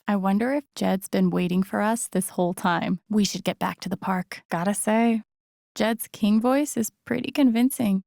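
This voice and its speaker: A woman's voice: medium pitch, creaky, a little mellow, no echo, clean studio sound. A young woman with an American accent acting a video game heroine, an empathetic, wry character who murmurs casually to herself. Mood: playful. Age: young adult. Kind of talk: naturalistic video game voice acting, inner monologue.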